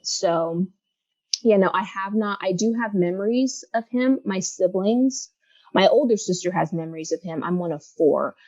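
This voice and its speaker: A woman in her thirties, a guest from Los Angeles, speaking reflectively in a casual interview with many pauses and fillers. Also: mid-range voice, darkish tone, no room sound, highs cut off. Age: 30s.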